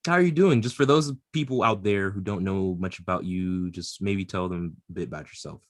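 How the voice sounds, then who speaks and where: A man co-hosting a casual podcast, lively and loaded with fillers. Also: sits low, fairly quick, no room sound, clean studio sound. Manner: lively, hesitant with many fillers. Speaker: podcast co-host. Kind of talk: casual chat.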